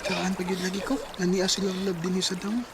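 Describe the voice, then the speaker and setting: A man's voice: high voice, completely flat delivery, brisk, noisy background. A man playing an easygoing young village boy. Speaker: main character in the drama.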